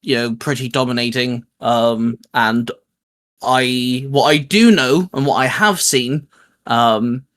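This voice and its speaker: A man's voice: medium pitch, slow pace, a bit bright. A man hosting casually, talking in an informal, hesitant, improvised way. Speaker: YouTube channel host. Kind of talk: casual, improvised skit and chat.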